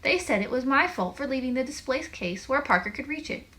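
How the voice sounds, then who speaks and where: A woman's voice: a little high, huge pitch swings, fairly quick, pushed and bright, full character voice. A woman voicing a dramatic, indignant young boy in a children's storybook, with an emphatic, aggrieved manner. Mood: exasperated.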